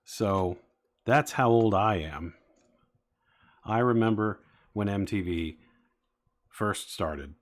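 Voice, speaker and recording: A man's voice: on the low side, slow, pauses often. A man in his forties chatting casually with live-stream viewers, rambling with many fillers as he talks. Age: 40s. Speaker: streamer building toy sets.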